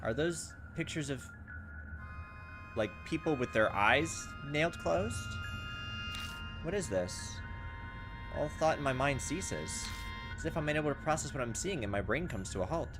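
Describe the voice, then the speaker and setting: A man's voice: on the high side, animated, moderate pace, pauses often. A man hosting a let's play, reading visual novel text aloud and chatting in a hesitant, thinking-aloud way. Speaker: gaming video host. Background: game music.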